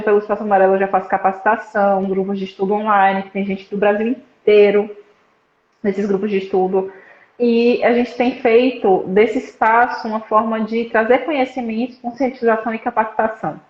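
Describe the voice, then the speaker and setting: A young woman from northeastern Brazil, a psychology student, explaining her suicide prevention work in a casual live-stream chat, with frequent "né" tags. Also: medium pitch. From Petrolina, Pernambuco, Brazil. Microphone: video call. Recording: roomy, dull lo-fi live stream audio.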